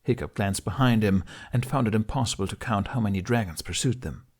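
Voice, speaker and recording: A man with a fairly low voice narrates an adventure audiobook. The delivery is scripted and dramatic, in the manner of a storyteller. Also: fairly quick, a little mellow, slightly rough.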